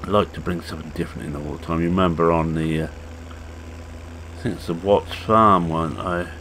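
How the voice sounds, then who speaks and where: A man in his sixties talks through his farming game in a relaxed, rambling way, pausing on fillers as he goes. His voice sits very low and sounds hoarse and croaky. A faint engine hum runs under his voice. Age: 60s. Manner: unhurried, rambling, halting with fillers. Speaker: farming game video maker. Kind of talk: casual gameplay commentary.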